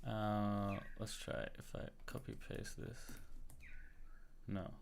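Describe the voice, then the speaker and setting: A low-pitched man with an American-sounding accent, though he is from Australia, talks in a slow, gravelly drawl. He mutters to himself while searching on his computer and greets chat viewers by name. Keyboard typing and mouse clicks sound behind him. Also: little pitch movement, a little hiss or hum. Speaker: livestream host. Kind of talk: casual livestream chat while coding.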